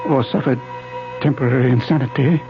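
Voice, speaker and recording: An actor playing a dying scientist who recounts his own murder in halting, dramatic phrases, in 1970s radio drama style. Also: mid-range voice, natural voice. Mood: solemn, halting. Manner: halting, dramatic narration in short broken phrases. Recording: dull vintage radio broadcast with music underneath.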